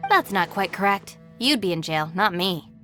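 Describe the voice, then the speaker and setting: A woman's voice: medium pitch, lively intonation, moderate pace, a bit bright, natural voice. A woman playing a level-headed, dry young deputy in a video game, with a matter-of-fact delivery. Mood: dry, correcting. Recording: faint music behind the voice.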